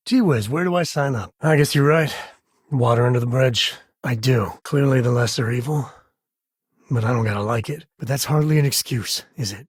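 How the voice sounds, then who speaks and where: An actor voicing a gruff, wry spacefaring cowboy father in a video game, with a husky, raspy voice and folksy, informal delivery. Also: medium pitch, dry recording, studio quality, natural voice. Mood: dry. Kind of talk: video game character voice lines.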